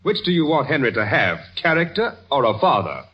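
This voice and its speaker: A man's voice: mid-range voice, sing-song, a little fast, lightly acted. An actor playing the exasperated father of a teenage boy in an old radio comedy, emphatic in delivery. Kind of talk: 1930s-40s radio comedy acting. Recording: muffled old radio recording, some noise.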